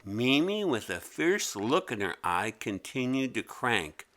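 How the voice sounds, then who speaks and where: A man narrating a children's story as a read-aloud, expressive and deliberate. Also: mid-range voice, slight background noise.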